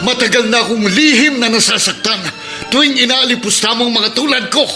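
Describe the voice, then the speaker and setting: A male actor playing a hurt, pleading youngster in a radio drama, emotional and forceful. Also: very high voice, brisk, faint music behind, full character voice. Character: hurt, pleading youngster standing up for street children. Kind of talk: Filipino radio drama acting.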